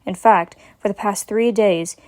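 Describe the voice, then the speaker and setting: A woman's voice: medium pitch, fairly even intonation, unhurried, darkish tone. A woman giving a dramatic reading of a scripted story as its narrator.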